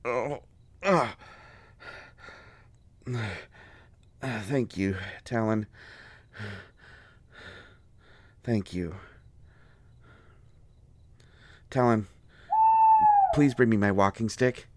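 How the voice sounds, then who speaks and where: A man playing an injured bookish fellow in an audio roleplay, cranky yet gentle, with halting, fussy wording and a pained delivery. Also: lowish voice, no echo, close to an everyday voice. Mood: flustered, grateful.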